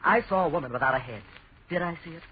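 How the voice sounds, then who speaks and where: A man's voice: a little high, fast, natural voice. An actor playing a shaken, stammering young American man who questions insistently in a 1940s radio horror drama. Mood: insistent, bewildered. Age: young adult. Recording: noisy dull old radio recording.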